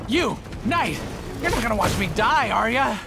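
A man's voice: very high-pitched, very animated, moderate pace, gravelly, heavily acted. Cocky, theatrical male thief. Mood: panicked. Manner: boastful, theatrical. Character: cocky male thief gang member, cowardly under threat. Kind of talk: video game voice acting. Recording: music behind the voice.

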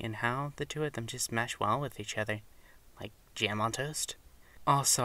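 Low, bright-voiced man, slightly distant. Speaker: guest fan commentator. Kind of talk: prepared fan commentary.

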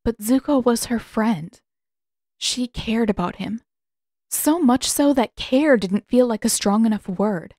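A woman's voice: fairly high pitch, lively intonation, unhurried, mellow, dry recording. A woman reading scripted fiction as an audiobook narrator, close to the microphone.

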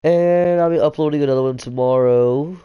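A man's voice: a little high, fairly quick, clean tone, no echo, lo-fi sound. A man streaming a game, sick and losing his voice, joking crudely and laughing a lot. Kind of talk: casual gaming chat. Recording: noisy game stream capture.

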